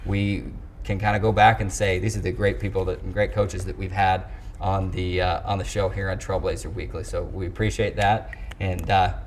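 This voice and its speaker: A man hosting a sports show, speaking in a somewhat halting way with repeated words. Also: deep voice, little pitch movement, a little hiss or hum. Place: studio set.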